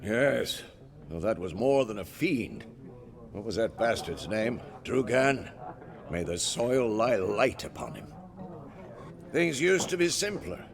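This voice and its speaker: An actor playing an old, grizzled male warrior mentor in a video game, slow, wry and reminiscing, with a gravelly voice. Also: lowish voice, big pitch swings, heavily acted. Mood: wistful. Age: older.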